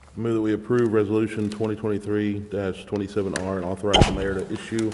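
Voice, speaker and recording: A man's voice: lowish voice, flat delivery, fast talker. A man serving as a council member, making formal motions and brief remarks. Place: council chambers. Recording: hall reverb with clear background noise.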